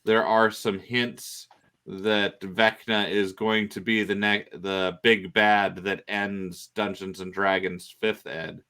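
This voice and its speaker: A man explaining things casually on a podcast, in a halting way with pauses mid-phrase. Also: on the low side, little pitch movement, bright, forceful tone, clear, resonant tone. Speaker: podcast panelist.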